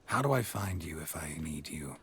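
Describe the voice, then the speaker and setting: An actor playing a laconic, dry-witted monster hunter in a video game, gravelly and terse. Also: male voice, extremely low pitch, moderate pace, slight background noise. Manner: terse, deadpan. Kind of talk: video game character acting.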